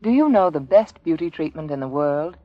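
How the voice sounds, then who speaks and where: A woman's voice: deep voice, lively intonation, a little fast, no room sound. A woman delivering a scripted 1940s radio commercial as the announcer. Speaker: advertisement announcer. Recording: dull, dry 1940s radio broadcast.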